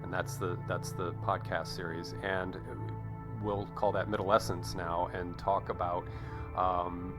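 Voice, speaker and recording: A man hosting an interview show in a conversational style, with halting, rambling delivery and chatty asides. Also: deep voice, little pitch movement, over music.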